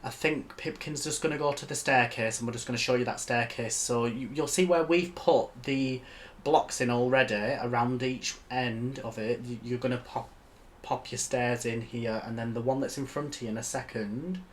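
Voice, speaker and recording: A man's voice: mid-range voice, fairly even intonation, moderate pace, slight background noise. Guy with a yorkshire accent rambling thru a build tutorial, laid back, laughs a lot. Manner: chatty, rambling, laughs easily. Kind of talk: casual chat.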